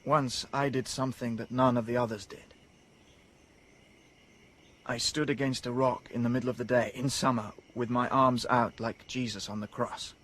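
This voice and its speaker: Actor doing a wistful young outsider guy in an old radio play, earnest, lonely, mid-pitched. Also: little pitch movement, moderate pace, fairly bright tone, natural voice. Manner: wistful, earnest, wonder-struck. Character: young man, the lonely savage, dreamy and earnest. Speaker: the Savage. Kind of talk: BBC radio drama acting.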